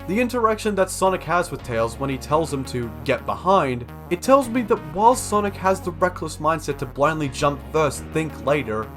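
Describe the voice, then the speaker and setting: A man's voice: fairly high pitch, a bit bright. A young man reading a scripted video essay as an analytical narrator.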